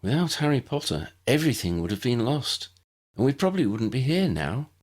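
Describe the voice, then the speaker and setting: Audiobook schoolgirl witch, played by a man: raised pitch, lively swings, chatty, helpful, reassuring. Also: fairly quick. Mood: earnest awe. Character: young schoolgirl witch, friendly, helpful guide. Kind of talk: audiobook character voice.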